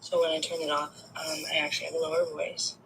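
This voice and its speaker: A woman with a gravelly, fry-tinged voice opens up candidly to her reader, loose and confiding, with casual swearing. Faint background noise runs beneath her. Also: sits low, little pitch movement, quick pace, fairly bright tone, rough recording. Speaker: caller getting a tarot reading. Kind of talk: casual chat.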